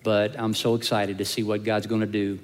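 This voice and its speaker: A man speaking as lead pastor to his congregation, heartfelt and emotional, pausing with frequent fillers. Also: fairly low pitch, flat delivery. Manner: warm, emotional, hesitant with fillers. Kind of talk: sermon announcement. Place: church sanctuary. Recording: long church reverb.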